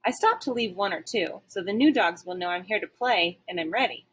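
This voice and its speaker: Animated, husky-edged woman reading a storybook. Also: medium pitch, a little fast. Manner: dramatic, varied emphasis. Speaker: teacher reading a book aloud. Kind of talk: expressive children's storybook narration.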